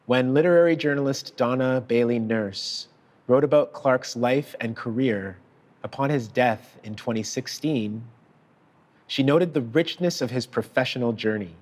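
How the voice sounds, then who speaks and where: A man reading a prepared tribute in a measured, deliberate and formal way. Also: mid-range voice, darkish tone. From child of parents from Sierra Leone. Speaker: author giving a tribute. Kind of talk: prepared speech read aloud.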